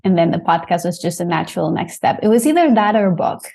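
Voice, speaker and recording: A woman's voice: on the low side, expressive, fast, gravelly, warm tone. A woman from Costa Rica, a sexologist, explaining her work casually and upbeat in an interview. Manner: upbeat, laughs easily, explains plainly. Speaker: sexologist guest.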